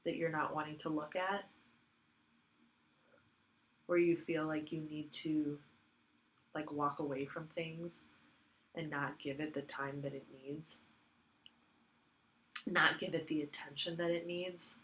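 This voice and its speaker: A woman's voice: low-pitched, little pitch movement, takes it slowly, pauses often, fairly bright tone, rough recording. A woman giving a casual tarot reading, congested from allergies, rambling with lots of fillers and repeating herself. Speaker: tarot reader.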